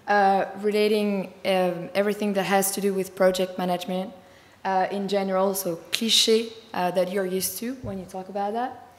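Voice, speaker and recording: A woman with a slightly hoarse, tiring voice giving a conference talk, fluent but with many fillers. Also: medium pitch. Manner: fluent, filler-heavy explaining. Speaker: conference speaker. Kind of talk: conference presentation. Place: large conference hall. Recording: echoey hall with long reverb.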